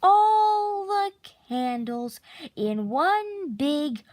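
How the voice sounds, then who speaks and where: A woman with a high voice reads a children's storybook aloud in a very expressive way. Her pitch swings widely and she takes her time, stretching key words theatrically. The sound is close and dry. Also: drawn-out words, no room sound. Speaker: picture book narrator.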